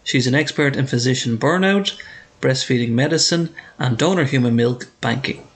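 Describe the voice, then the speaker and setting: A man's voice: medium pitch, moderate pace. A man hosting a podcast interview, asking upbeat, chatty questions with small restarts. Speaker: podcast host.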